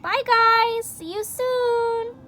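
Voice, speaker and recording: High-pitched woman reading a story aloud. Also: extremely slow, music in the background. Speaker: story reader. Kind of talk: read-aloud story for children.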